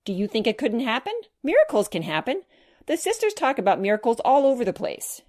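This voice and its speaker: A woman voicing an eager, animated young boy in a children's storybook, insistent and full of exclamations. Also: a little high, brisk, pitched above the performer's own voice. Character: excitable young boy eager to share a miracle.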